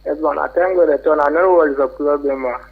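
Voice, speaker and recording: A man's voice: fairly high pitch, brisk, creaky, breathy edge. A man with a Nigerian accent calling a radio show, talking bluntly in Pidgin-tinged English. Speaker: phone-in caller. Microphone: telephone call. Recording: poor, narrow mobile phone line.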